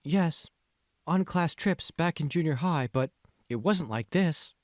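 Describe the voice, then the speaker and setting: A man's voice: fairly high pitch, animated, measured pace, dry recording, studio quality, voice pushed up. A man voicing a naive, uneasy young woman in an audiobook, hesitant and full of questions. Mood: uneasy, curious. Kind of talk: audiobook dialogue.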